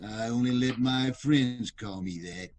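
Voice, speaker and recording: A man's voice: medium pitch, measured pace, a little mellow, lightly acted. A man playing a fussy, cowardly germ-phobic gangster in an old-time radio comedy-mystery, with indignant tough-guy slang. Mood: huffy, defiant.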